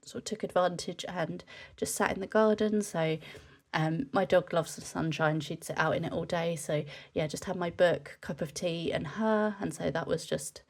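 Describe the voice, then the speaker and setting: Low-voiced young woman with a cold. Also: normal speed. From United Kingdom. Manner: relaxed, chatty, with frequent ums. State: nasal from a cold. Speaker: scrapbooking vlogger. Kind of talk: casual voice-over narration.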